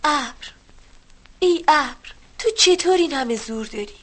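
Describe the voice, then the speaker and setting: A woman's voice: sits high, animated. A woman voicing a small sparrow in a children's audio story, calling out earnest questions. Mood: questioning. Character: small bold pleading sparrow.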